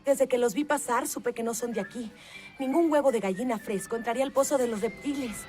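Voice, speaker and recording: Woman voicing a high-voiced, sensible cartoon girl. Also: brisk, a little mellow, soft background music, natural voice. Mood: reassuring. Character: kind, brave, sensible young female cartoon egg. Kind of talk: animated film dubbing.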